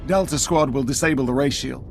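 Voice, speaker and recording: Casting: male actor as haughty, impatient military commander, clipped and authoritative, medium pitch, sci-fi game with faint score underneath. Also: a little fast, gravelly, faint music behind, close to an everyday voice. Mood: briskly commanding. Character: brusque, commanding Imperial military officer, proud and impatient. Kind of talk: video game cutscene acting.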